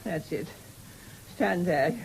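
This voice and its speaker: An actress playing a querulous, suspicious and frightened old invalid mistress in a radio drama, with an imperious, fretful manner. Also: female voice, fairly low pitch, animated, takes it slowly, creaky, a little hiss or hum, heavily acted, rough recording. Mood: fearful, clinging. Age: older. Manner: imperious, fretful, muttering and exclaiming. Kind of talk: 1970s radio drama acting.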